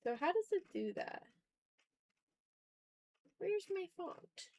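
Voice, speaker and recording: A woman's voice: very high voice, very animated, slow pace, darkish tone. A woman livestreaming as she draws, chatting casually with viewers and muttering to herself as she talks through each step. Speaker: art streamer. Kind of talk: casual livestream chat while drawing.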